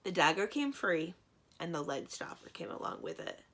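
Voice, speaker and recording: Low-pitched woman reading a storybook aloud. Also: big pitch swings, normal speed, fairly bright tone. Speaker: library storyteller. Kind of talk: children's storybook narration.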